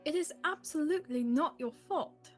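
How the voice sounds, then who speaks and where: Young woman as earnest high-voiced sheriff's helper. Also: moderate pace, soft background music, lightly acted. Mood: concerned. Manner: earnest, gently insistent. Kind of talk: student radio-theatre acting.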